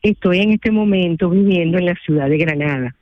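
A woman's voice: lowish voice, brisk, narrow, tinny audio. A middle-aged woman from Venezuela with a Venezuelan accent, calling in to a radio show and explaining her work earnestly in formal phrasing. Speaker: caller. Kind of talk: radio phone-in interview.

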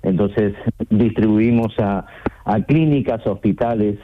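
A man's voice: fairly low pitch, a little hiss or hum. Man on a tinny phone line. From Argentina. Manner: halting, repeats words and phrases. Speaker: radio call-in guest, sales representative. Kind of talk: conversational phone interview.

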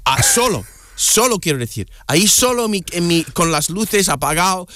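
A man from the United States, a native English speaker, chatting in Spanish with an American accent as an interview guest, halting and restarting words. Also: dry recording. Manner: halting, restarts words, animated pitch swings. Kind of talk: casual interview chat.

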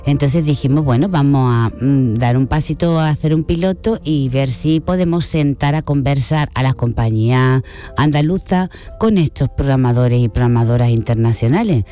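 A woman with an Argentine-tinged Spanish accent speaks in a mellow, relaxed voice. She is chatty and enthusiastic, like a festival director being interviewed on the radio. Music plays faintly behind her. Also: extremely low pitch, normal speed. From Cádiz province, Spain.

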